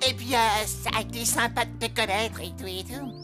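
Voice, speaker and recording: A woman's voice: on the high side, brisk, music in the background, natural voice. A woman voicing a cheeky, slangy, secretly sentimental boy sidekick in a cartoon. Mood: awkward, offhand. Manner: slangy, swings from offhand to overwrought.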